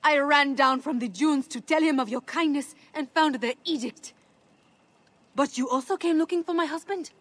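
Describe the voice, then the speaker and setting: A woman's voice: sits high, normal speed, a bit bright, dry recording. A woman acting a proud, devoted ancient Egyptian wife in a video game, speaking with earnest emotion. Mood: distressed.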